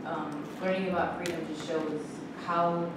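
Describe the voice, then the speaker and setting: A young woman shares a thought, searching for her words as she goes. She speaks on a flat, lowish pitch. The sound is far off, with strong echo and loud noise. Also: a little slow. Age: young adult. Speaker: student. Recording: noisy echoey hall, distant mic.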